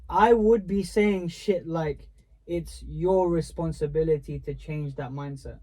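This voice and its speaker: Young man, mellow slangy host. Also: on the high side, measured pace. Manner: laid-back slangy banter, swearing freely. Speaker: podcast host. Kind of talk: casual podcast chat.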